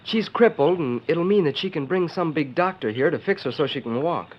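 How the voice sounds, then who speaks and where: A man's voice: fairly high pitch, animated, a little fast, lightly acted. An actor playing an earnest, eager boy sidekick in a 1940s radio western, with a youthful manner. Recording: lo-fi noisy 1940s radio recording.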